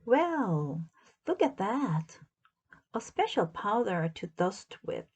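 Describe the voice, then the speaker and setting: A woman's voice: mid-range voice, very animated, slow pace, darkish tone, breathy edge, heavily acted. A woman voicing a cheerful, earnest, literal-minded housemaid from a children's storybook, with folksy, puzzled exclamations. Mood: pleased wonder.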